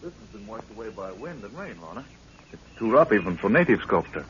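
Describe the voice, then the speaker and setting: Respectful assistant, low voice, old radio. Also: male voice, big pitch swings, a little fast. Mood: curious. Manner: polite, earnest. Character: respectful, dutiful young expedition assistant. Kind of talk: 1930s radio drama acting. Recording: noisy dull 1930s radio recording.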